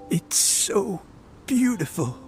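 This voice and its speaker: A man playing a kindly, sentimental elderly grandfather in a video game, halting and heartfelt. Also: sits high, full character voice. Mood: awed, happy. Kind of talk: emotional game cutscene dialogue.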